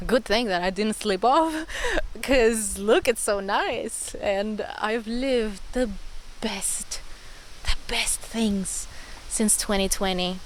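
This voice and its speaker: A young woman hosting a spiritual vlog, talking warmly and encouragingly, repeating words and laughing often. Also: mid-range voice, a little hiss or hum. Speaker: spiritual channel host. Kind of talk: personal spiritual vlog monologue.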